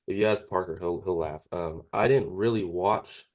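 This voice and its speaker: A young man around twenty answers interview questions in a deep voice. He speaks casually and a bit haltingly, often tacking short questions onto his sentences. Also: darkish tone. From California, United States. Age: about 20. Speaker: interviewee, young cleaning business owner. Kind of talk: casual chat.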